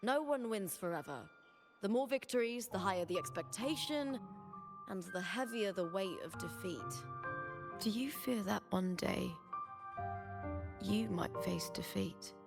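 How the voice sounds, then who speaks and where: An actress playing a sincere, wistful young woman warrior in a video game, speaking in a reflective, measured way. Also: medium pitch, animated, creaky. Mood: earnest, tender. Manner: reflective, measured, dramatic delivery. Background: game music.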